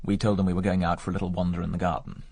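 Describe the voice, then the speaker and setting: An actor with a British accent playing a suave, sardonic gentleman suitor in a radio drama, clipped and dry. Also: low voice, little pitch movement, racing, vocal fry, breathy edge, no room sound, exaggerated voice. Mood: guarded. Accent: British English.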